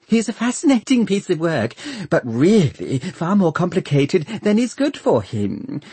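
Giddy female mad scientist, acted by a man: high-pitched, simpering, swooping, fawning storybook character. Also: big pitch swings, measured pace, warm tone, slightly rough, dry recording, full character voice. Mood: giddy, proud. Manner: simpering, twittering, giggly.

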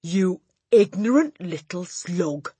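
A woman voicing a huge, tyrannical headmistress who loathes children, bellowing her insults with drawn-out emphasis in an audiobook. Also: low-pitched, animated, a bit bright, husky, dry recording, exaggerated voice. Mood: furious, bellowing. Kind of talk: audiobook character voice.

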